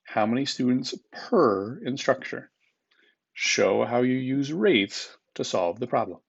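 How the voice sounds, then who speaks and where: A man's voice: medium pitch, lively intonation, slow, creaky, breathy edge, highs cut off. A man speaking as a teacher, reading test questions aloud to students in an informal, instructive manner and repeating them.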